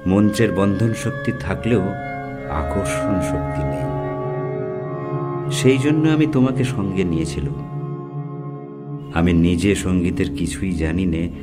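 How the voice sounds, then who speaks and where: An actor playing a remorseful, haunted older sorcerer in an audio story, confessing in a halting, heavy way. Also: male voice, sits low, lively intonation. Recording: loud music under the voice.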